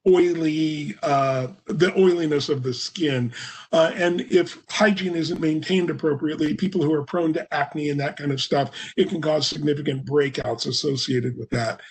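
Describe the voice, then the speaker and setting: A man presenting a remote webinar lecture into a computer microphone, hoarse and coughing now and then, explaining at a measured pace with many fillers. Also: on the high side.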